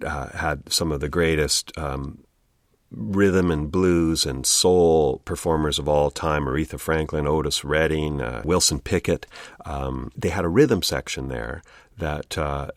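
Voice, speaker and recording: A man's voice: very deep voice, no echo, clean studio sound. A man hosting a podcast in a casual, chatty narration. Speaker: podcast host.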